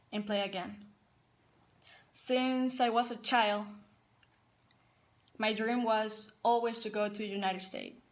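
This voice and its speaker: A young woman from Paraguay, a Spanish speaker with a Paraguayan Spanish accent, a college soccer player giving an earnest, careful prepared personal speech. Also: mid-range voice. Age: young adult. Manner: earnest, careful and measured, with some non-native phrasing.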